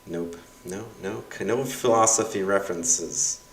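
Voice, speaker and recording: A deep-voiced young man, a university instructor whose first language was Russian, reads his course evaluations aloud and riffs on them with wry, self-mocking asides. He speaks casually and loosely, with plenty of fillers. The sound is roomy and distant from the mic. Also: unhurried.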